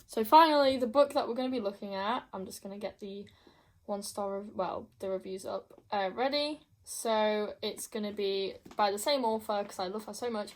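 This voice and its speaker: Young female book vlogger, mid-pitched voice, casual and emphatic, given to gushing repetition. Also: moderate pace. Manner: gushing, emphatic, repeats herself. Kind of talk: casual chat to camera.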